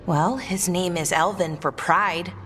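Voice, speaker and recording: A woman's voice: on the low side, unhurried, fairly bright tone, a little hiss or hum. A woman voicing an earnest, knowledgeable elf in a fantasy video game cutscene. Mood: earnest, troubled.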